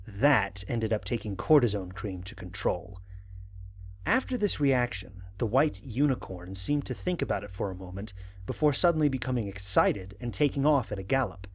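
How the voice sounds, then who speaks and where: Guy acting a wry space captain in an audio drama, lively pitch swings, bit of rasp in the voice. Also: moderate pace. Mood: wry, reflective. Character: wry human astronaut captain dictating mission logs. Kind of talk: audio drama narration as log entries.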